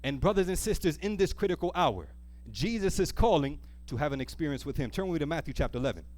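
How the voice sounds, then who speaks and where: A man's voice: a little high, lively intonation, fast, darkish tone. A man preaching to a congregation, earnest and emphatic, repeating key phrases and prompting listeners with questions. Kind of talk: church sermon with call-and-response questions to the congregation. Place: speaking live to a church congregation.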